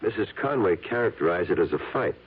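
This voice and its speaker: An actor playing a cool, persistent police lieutenant in a 1970s radio drama, husky, clipped and formal. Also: male voice, low voice, lively intonation, fast talker. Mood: cool, businesslike. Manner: clipped, formal, matter-of-fact. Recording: tinny old radio broadcast.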